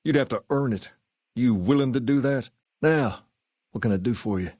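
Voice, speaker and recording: A man playing a laid-back, folksy gang boss in a video game, with a colloquial, quietly authoritative way of talking. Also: medium pitch, moderate pace, no echo, clean studio sound, close to an everyday voice. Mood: easygoing, persuasive. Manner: easygoing, colloquial drawl of phrasing, quietly authoritative. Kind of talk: video game character dialogue. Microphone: close, dry studio microphone.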